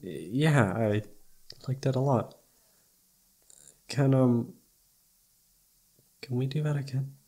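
Man acting breathy, hesitant lovestruck young guy. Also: mid-range voice, darkish tone. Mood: tender, shy. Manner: hesitant, halting, tender. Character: lovestruck, self-doubting young male best friend confessing feelings. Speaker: amateur voice actor. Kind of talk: intimate ASMR roleplay acting.

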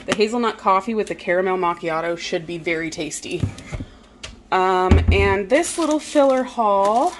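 A woman vlogger casually narrating a grocery haul in her home kitchen, relaxed and chatty with frequent "um"s. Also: medium pitch, normal speed, over music.

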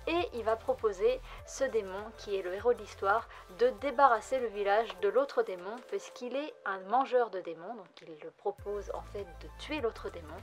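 A woman's voice: mid-range voice, moderate pace, few pauses, faint music behind. A young woman hosting a casual manga vlog from a room lined with bookshelves, talking in an upbeat, chatty way with frequent fillers. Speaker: manga vlogger.

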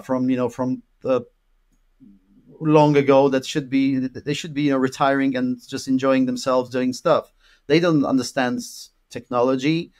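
Polish-born interview guest, rambling with fillers, mid-pitched voice, video call from home. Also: male voice. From Poland. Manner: rambling with many fillers and repeated words. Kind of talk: casual interview conversation. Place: room at home with an orange wall.

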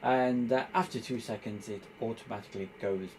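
A man giving an informal hands-on tutorial, explaining step by step in a halting, hesitant way. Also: on the low side, steady tone, a little mellow, a little hiss or hum. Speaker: presenter demonstrating radio equipment.

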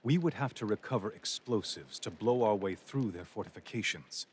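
A man narrating a fantasy game story in a grave, measured tone. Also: lowish voice, expressive, slight background noise, lightly acted. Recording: music bed.

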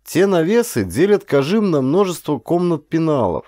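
A man narrating a historical adventure novel as an actor would, in an expressive storyteller's manner with colloquial asides and drawn-out phrases. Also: fairly high pitch, no room sound, clean studio sound.

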